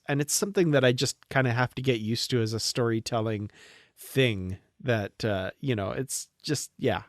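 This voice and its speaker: A man co-hosting a podcast, talking casually about a TV show in a measured way, as if thinking aloud. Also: medium pitch, dry recording, clean studio sound. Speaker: podcast co-host.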